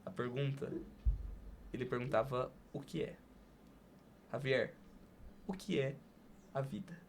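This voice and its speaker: A man hosting a podcast, speaking deliberately with emphatic pauses, in a conversational and sometimes poetic style. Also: mid-range voice, vocal fry, a little hiss or hum. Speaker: podcast host. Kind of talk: conversational hosting and spoken-word poem recital.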